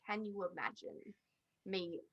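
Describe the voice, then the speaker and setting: A woman's voice: medium pitch, measured pace, lo-fi sound. A woman on a podcast panel giving blunt, emphatic opinions in casual conversation.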